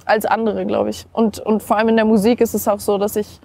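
A young woman singer from Germany chatting casually in an outdoor interview, relaxed and laughing easily, slipping English words into her talk. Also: mid-range voice, fast, creaky. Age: young adult. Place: outdoors on steps by a river.

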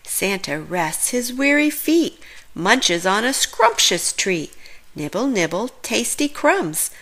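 A woman reading a children's picture book aloud, expressively, drawing out words with dramatic pauses. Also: mid-range voice, very animated, a bit bright. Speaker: children's story reader.

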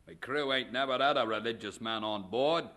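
An actor playing a gruff, grudging sea captain in a radio drama, gravelly and plain-spoken, with rustic grammar. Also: male voice, mid-range voice, moderate pace, pushed and bright, natural voice.

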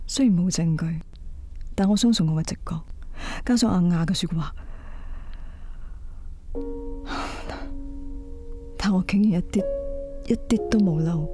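An actress playing a troubled middle-aged wife in a radio drama, confessional and subdued. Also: female voice, on the low side, fairly quick, warm tone, natural voice. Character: weary, troubled middle-aged wife confiding in her lover. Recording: music under the voice. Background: music.

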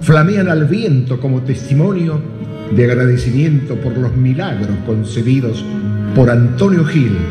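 A man's voice: mid-range voice, expressive, unhurried. A man giving solemn scripted narration for a radio drama. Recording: echoey hall, music behind.

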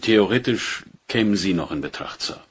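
An actor playing a polite, calculating British police inspector in a German crime radio play, with measured, insinuating deference. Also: male voice, on the low side, lively intonation, slightly rough. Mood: polite, probing.

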